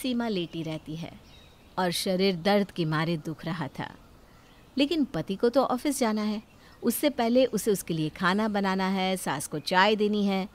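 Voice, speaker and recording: A woman's voice: mid-range voice, a little slow. A woman telling a scripted moral story as a dramatic narrator.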